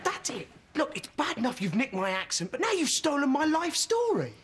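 A man's voice: very high voice, huge pitch swings, a little fast, heavy background noise, exaggerated voice. An actor playing an exasperated, jealous young British man in a sitcom, speaking with indignant sarcasm in a British accent. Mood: exasperated, sarcastic. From Britain. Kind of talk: sitcom acting.

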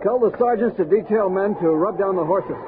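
An actor with an American accent playing a steady, decisive expedition captain in a 1960s radio drama. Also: male voice, high voice, brisk, close to an everyday voice. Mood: waking, giving orders. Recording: noisy, narrow old radio recording.

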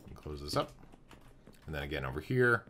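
A man's voice: a bass voice, big pitch swings, fairly quick, bright, forceful tone, slight background noise. A young man streaming a building game from home, thinking aloud as he plans rooms and greets his chat, his voice wearing out. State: losing his voice. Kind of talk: live gameplay commentary.

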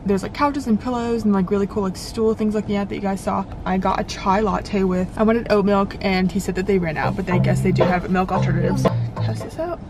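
A woman's voice: mid-range voice, fairly even intonation, a little fast, over music, poor audio. A young woman in a parked car, talking casually to a dashboard camera while reviewing coffee shops, with lots of filler words. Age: young adult. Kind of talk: casual vlog chat.

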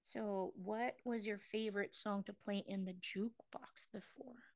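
Slow, chatty Filipino-born woman teaching a craft. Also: mid-range voice, steady tone, gravelly, no echo. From Philippines. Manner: chatty and self-mocking, laughs often, sings snatches of songs while drawing. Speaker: online craft class instructor. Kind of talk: live online drawing class, chatting with viewers.

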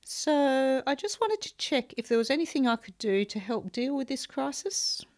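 A woman's voice: high voice, big pitch swings, moderate pace. An actress playing a prim, polite female office assistant who is mildly reproachful in a radio comedy.

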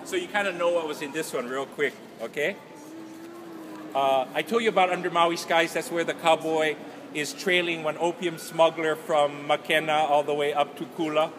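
Man in his sixties, slightly high voice, animated informal storytelling, clear background noise of a big hall. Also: normal speed. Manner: animated, gesturing, colloquial storyteller. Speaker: author. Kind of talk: informal author talk and storytelling to an audience. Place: large indoor festival hall, noise behind. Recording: noisy large hall, ambient background noise.

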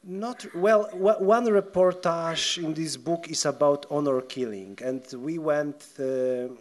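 A man with a Polish accent talks on a theatre stage into a table mic, with a fairly high voice for a man. He stumbles and restarts often and gestures as he goes. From Poland. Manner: halting, many restarts and stammered words, gestures while talking. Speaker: guest journalist. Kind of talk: onstage festival conversation. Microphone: table mic on a stand.

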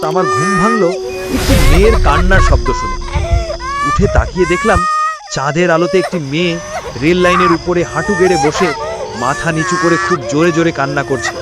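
A man telling a first-person ghost story as narration for a cartoon, in a lively storytelling manner. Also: fairly high pitch, normal speed. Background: loud music with crickets chirping.

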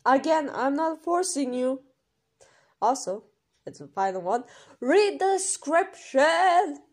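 A woman's voice: high voice, big pitch swings, slow. A woman reading an informal explainer voice-over in an emphatic, instructional way, as a creator running an audition. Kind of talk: informal scripted explainer voice-over with numbered rules.

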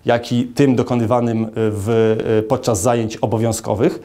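Measured, formal man with a mellow voice. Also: medium pitch, little pitch movement. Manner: measured and formal, with frequent hesitation fillers. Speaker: lawyer. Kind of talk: statement to camera. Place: indoor office with large arched window.

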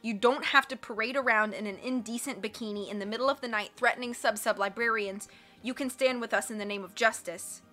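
A woman voicing an earnest, kindly man who speaks quickly. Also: a little high, fairly even intonation, pushed and bright, faint music behind.

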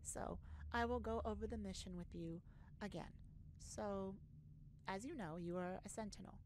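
A woman playing an earnest, easily flustered space ambassador, halting and awkward yet formal, in an ASMR sci-fi roleplay. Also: medium pitch, darkish tone, lightly acted. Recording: noticeable background noise.

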